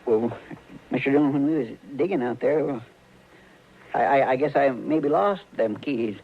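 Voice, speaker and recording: An actor playing an eager, bumbling frontier deputy with a folksy, stammering, deferential manner in a 1950s radio western. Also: male voice, medium pitch, normal speed, lightly acted. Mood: sheepish. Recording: dull, noisy old radio recording.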